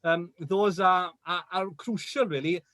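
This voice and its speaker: A man's voice: high voice, a little slow, a bit bright, no room sound. A Welsh man as a radio guest, chatting casually and often repeating words as he searches for them. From Wales. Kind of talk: casual chat on a radio show. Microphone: video call.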